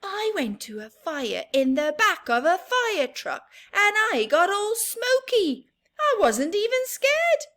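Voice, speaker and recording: A woman's voice: extremely high pitch, big pitch swings, slow pace, a bit bright, voice pushed up, exaggerated voice. A woman voicing a bold, adventurous little girl in a children's story, eager and exclaiming. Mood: proud.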